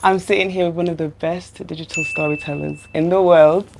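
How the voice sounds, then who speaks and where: Low gravelly woman hosting, bubbly. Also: steady tone, brisk, background noise. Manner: bubbly, laughs often. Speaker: host. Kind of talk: casual interview.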